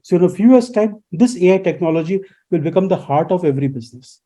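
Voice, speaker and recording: A man's voice: sits high, lively intonation, fast talker, dark tone. A man, a guest explaining things in a radio interview and posing rhetorical questions as he lectures. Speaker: guest expert.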